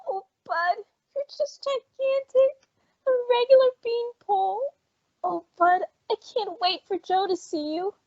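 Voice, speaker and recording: A woman acting as an excitable young girl next door in an old-time radio drama, effusive and breathless. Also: very high-pitched, unhurried, no room sound, lo-fi sound. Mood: gushing delight.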